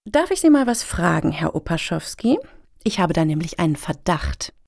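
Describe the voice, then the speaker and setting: A woman's voice: on the low side, sing-song, normal speed, gravelly, a little mellow, dry recording, studio quality, heavily acted. A woman playing an earnest, preachy progressive panel member in a satirical radio play, speaking pointedly. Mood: pointed, indignant.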